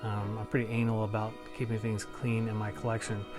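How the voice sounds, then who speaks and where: Casual male reviewer, fairly even intonation. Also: fairly low pitch, brisk. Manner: informal, enthusiastic fan talk. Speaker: collectibles review host. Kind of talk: casual chat to viewers. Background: music.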